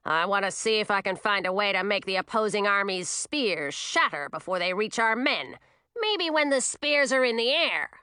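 A woman's voice: medium pitch, expressive, normal speed, sharp tone, clear, resonant tone, no room sound, clean studio sound. A woman voicing a grumpy old witch in a storybook, sour and snide, grumbling and muttering her words. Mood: grudging.

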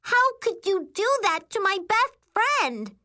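Woman playing a shrill, furious twelve-year-old little sister. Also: lively intonation, slow, exaggerated voice. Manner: shrill, yelling, snapping.

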